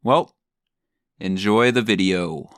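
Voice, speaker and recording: A man's voice: lowish voice, slow pace. A man delivering scripted satirical video commentary in a mock-serious way, with exaggerated theatrical emphasis. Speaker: video creator and narrator.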